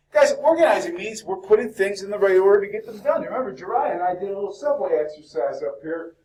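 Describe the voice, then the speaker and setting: A male instructor lecturing a university class in a lively, chatty way, peppering students with questions, his voice cracking. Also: sits high, slight background noise. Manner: talkative, upbeat, fires questions at the class. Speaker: business instructor. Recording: echoey classroom from far off.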